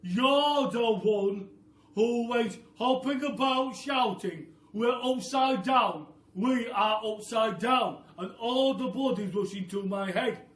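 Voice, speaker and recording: Man acting a horrid, yelling bad-tempered husband. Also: high-pitched, pitched above the performer's own voice. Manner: yelling, stretched-out words. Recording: roomy, noisy, distant microphone.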